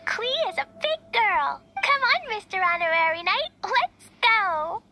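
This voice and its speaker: A woman voicing an excitable, cheerful little girl in a video game, with a squeaky, childlike voice. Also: measured pace, exaggerated voice. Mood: eager, determined. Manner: excitable, emphatic. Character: Paimon and Klee, high-pitched cheerful little girls. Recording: game music loud behind.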